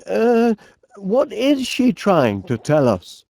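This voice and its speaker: An actor playing a polite, bumbling, enthusiastic inventor with a Northern English accent in an animated video game, hesitant and fussily courteous with quaint turns of phrase. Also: male voice, high voice, takes it slowly, vocal fry, no room sound, clean studio sound, full character voice. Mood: puzzled, musing.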